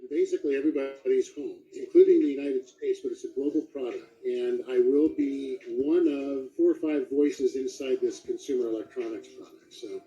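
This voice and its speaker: A man's voice: medium pitch, moderate pace, few pauses, warm tone. A middle-aged man chatting casually as an interview guest, with a self-deprecating manner. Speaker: guest voice actor. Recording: dull, lo-fi audio.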